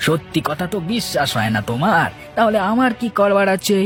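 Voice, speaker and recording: A man's voice: sits high, big pitch swings, a little fast, a bit bright, faint music behind. A man voicing a defensive, cocky village husband in a cartoon, indignant and dismissive. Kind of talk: cartoon dialogue acting.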